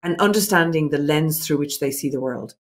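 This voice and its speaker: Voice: medium pitch, brisk. A speaker in a mid-range voice talks through a series of points in an interview. The tone is earnest and reflective, with frequent restarts as thoughts form. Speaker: business owner guest. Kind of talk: interview answers.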